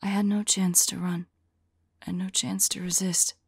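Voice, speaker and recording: A woman playing a remorseful, lovelorn female vampire in an ASMR roleplay, whispering softly and tenderly in a halting, intimate monologue. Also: mid-range voice, level tone, dry recording, clean studio sound. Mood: haunted, sorrowful. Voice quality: soft, breathy whisper. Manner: slow, halting, tender delivery.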